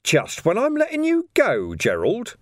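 A man's voice: high voice, wildly swooping pitch, unhurried, no room sound, clean studio sound, voice pushed up, heavily acted. A man voicing a wily male police commander who needles a suspect with sardonic, mock-friendly talk. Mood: sly needling.

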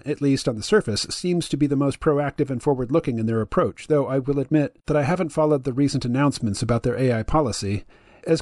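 A deep-voiced man hosting a podcast, reading his side of a dialogue in a measured, analytical way. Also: a little mellow, dry recording, clean studio sound. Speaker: podcast host.

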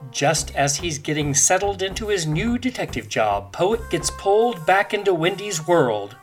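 A woman's voice: fairly low pitch, sing-song, moderate pace, music in the background. A woman giving scripted, theatrical and suspenseful narration for a radio serial.

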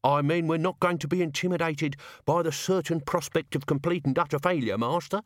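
A chirpy storybook sidekick, voiced by a male narrator, lifted above natural pitch. It talks in earthy, matey phrases, loyal and irrepressible, close and dry. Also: brisk, no room sound, lightly acted. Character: cheeky, loyal servant hidden among sacks, chirpy, earthy.

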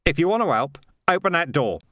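Gruff rat commander for audiobook, played by a man; terse orders, bossy, dry sound. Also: on the high side, very animated, a little slow, no echo. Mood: barking orders. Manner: curt, commanding. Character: gruff, bossy male warrior rat leader.

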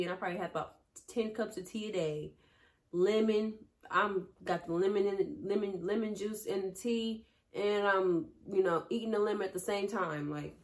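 A young woman hosting her own podcast, talking casually to her listeners and calling them y'all and baby, still getting over a cold. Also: mid-range voice. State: recovering from a cold. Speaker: podcast host. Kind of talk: casual solo talk to listeners.

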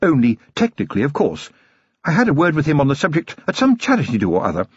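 A man's voice: fairly high pitch, wildly swooping pitch, fast talker, breathy edge, dry recording, muffled recording, voice pushed up, exaggerated voice. A man voicing a genteel noblewoman with a refined, gushing upper-class lilt. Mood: fond. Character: genteel noblewoman, warm and affectionate.

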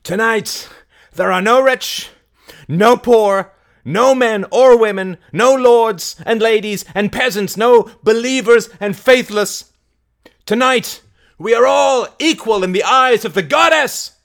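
A man's voice: extremely high pitch, slow, a bit bright, pitched above the performer's own voice, full character voice. A man voicing a fiery, defiant rebel woman who preaches to rouse a mob in declamatory audiobook oratory. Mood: fervent, defiant. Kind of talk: audiobook character acting.

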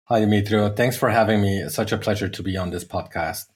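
Middle-aged man, lower register, measured interview guest, hesitant fillers, explains at length. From United States. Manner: measured, many fillers and restarts. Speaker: guest, sales executive. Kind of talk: podcast interview.

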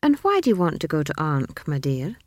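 A woman voicing a pompous, genially condescending old male wizard in an audiobook story, with airy, unhurried, patronising speech. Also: low voice, huge pitch swings, darkish tone, no echo, studio quality. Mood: benevolent, smug.

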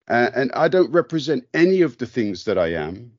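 A man from the UK, talking thoughtfully on a podcast, pausing and restarting often. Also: medium pitch, big pitch swings, darkish tone, no room sound, lo-fi sound. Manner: thoughtful, hesitant, many restarts. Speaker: podcast host. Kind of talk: reflective conversation.

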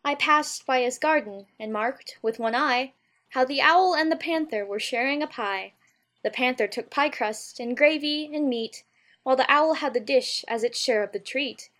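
A woman's voice: high voice, normal speed, fairly bright tone. A woman playing a polite, puzzled young girl with a proper, earnest delivery in an audiobook. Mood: uncertain reciting. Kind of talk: full-cast audiobook character acting.

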